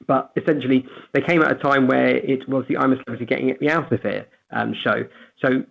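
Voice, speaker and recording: A man giving a webinar presentation in a brisk way, with some restarts. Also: medium pitch, steady tone, gravelly. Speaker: webinar speaker. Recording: tinny phone-line audio.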